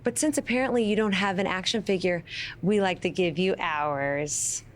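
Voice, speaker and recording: A woman's voice: lowish voice, normal speed, lightly acted. A woman playing a snide wrestling bully in a video game, catty and mock-polite, with noise behind her. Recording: clear background noise.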